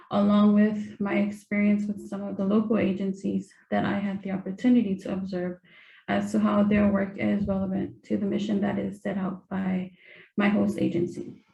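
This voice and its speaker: A young woman from Samoa, a graduate student, giving a formal academic presentation in a careful, measured way. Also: mid-range voice, creaky, warm tone. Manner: careful, measured delivery with occasional ums. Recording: dull, roomy recording.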